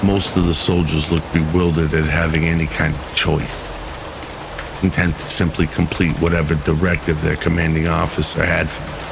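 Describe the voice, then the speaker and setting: A man narrating a scripted radio drama in a measured, solemn storytelling manner. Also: low voice, creaky, noisy background.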